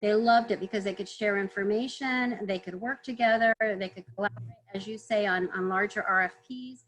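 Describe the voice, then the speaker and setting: A woman guest on a video call webinar, answering earnestly, clearing her throat often as she is losing her voice. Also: medium pitch, fairly quick, rough recording. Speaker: trade consultant guest.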